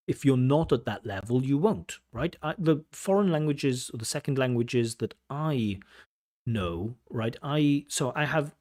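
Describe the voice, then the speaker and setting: A man's voice: mid-range voice, warm tone. A middle-aged man, an IELTS examiner, answering viewer questions on a livestream with direct, blunt advice and rhetorical questions. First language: English.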